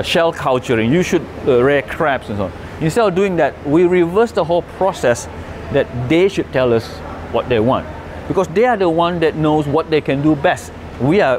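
Middle-aged man, halting explanatory talk. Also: fairly high pitch, darkish tone, slight background noise. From Malaysia. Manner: hesitant, restarts often, plain explanatory. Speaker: conservation speaker. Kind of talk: presentation with audience Q&A.

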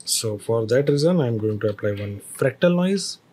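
A man teaching a screen-recorded software tutorial, explaining each step with hesitant phrasing and fillers. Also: mid-range voice, animated, moderate pace, breathy edge.

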